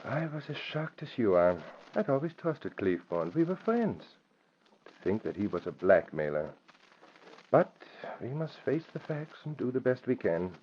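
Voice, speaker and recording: An actor in an old-time radio drama playing a scheming casino owner, formal and persuasive in manner. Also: male voice, medium pitch, big pitch swings, normal speed, lightly acted. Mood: grave, persuasive. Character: smooth-talking, scheming male gambling casino owner. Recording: dull, noisy old radio recording.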